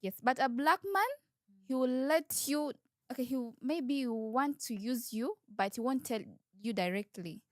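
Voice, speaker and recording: A woman with a Ugandan accent and a slightly high voice, recorded dry with little room sound. She answers interview questions candidly and informally, explaining things point by point with some repetition. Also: moderate pace, dry recording.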